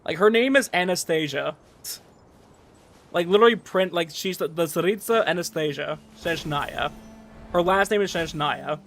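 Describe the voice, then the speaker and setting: A man's voice: fairly high pitch, moderate pace, a bit bright. A man livestreamer chatting casually with viewers in a rambling, conversational way. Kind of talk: casual chat with stream viewers. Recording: music playing behind the voice. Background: background music.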